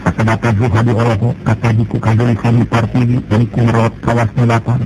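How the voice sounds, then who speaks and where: A man narrating a traditional folk tale, jumping up in pitch when he voices the characters. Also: quick pace, background noise. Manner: lively storytelling, jumps in pitch for characters. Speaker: storyteller.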